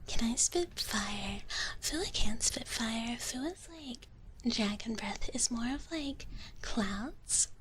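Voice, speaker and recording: Slow, softly whispering ASMR woman. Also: a little high, expressive, low-quality recording. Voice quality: soft, breathy whisper. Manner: playful, in character as a gentle wish dragon, often speaking of herself in third person. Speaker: live streamer, host. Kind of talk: whispered ASMR, casual chat with viewers. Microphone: close ASMR microphone. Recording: clearly audible background noise.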